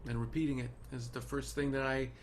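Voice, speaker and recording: A man's voice: medium pitch, little pitch movement, brisk, lightly acted. Man acting a dejected TV showrunner, noisy audio. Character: dejected, stubborn male TV showrunner. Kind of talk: screenplay table read acting. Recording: noisy online call audio.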